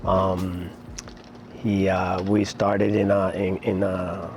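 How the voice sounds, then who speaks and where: A middle-aged man, talking casually and haltingly in an interview as a martial arts instructor, with many pauses. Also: low-pitched, completely flat delivery, noisy background. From Puerto Rico-born, raised in New York City.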